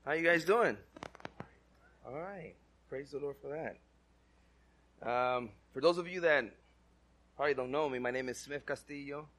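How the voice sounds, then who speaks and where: Bright-voiced Dominican-accented man preaching. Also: on the high side, a little slow, long pauses. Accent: Dominican Spanish-accented English. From Dominican Republic. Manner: conversational, asks the crowd questions, self-deprecating humor. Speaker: church speaker. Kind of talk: informal sermon to a congregation.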